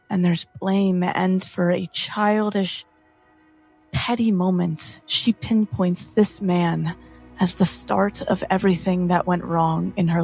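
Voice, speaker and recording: Woman player narrating her rpg character, slow and intense, lots of pauses, faint music. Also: on the low side, gravelly, a little mellow, breathy edge. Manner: intense, halting, with dramatic pauses. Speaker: tabletop player. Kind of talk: dramatic third-person roleplay narration.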